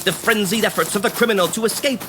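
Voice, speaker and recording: An actor playing a florid, melodramatic announcer narrating the action of a 1940s style radio serial. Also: male voice, high voice, fast talker, background noise, close to an everyday voice. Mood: excited, dramatic.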